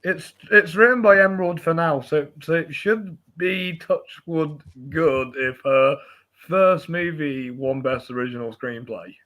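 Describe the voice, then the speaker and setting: A man's voice: on the high side, unhurried, pushed and bright. A man co-hosting a podcast in a casual conversation about films. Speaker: podcast co-host. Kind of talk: casual film chat.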